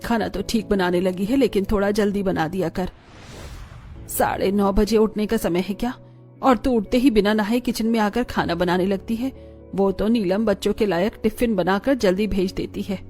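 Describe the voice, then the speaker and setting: A woman's voice: mid-range voice, normal speed, dark tone, faint music behind, natural voice. A woman voicing an older, bossy but fond mother-in-law in a cartoon family drama. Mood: chiding.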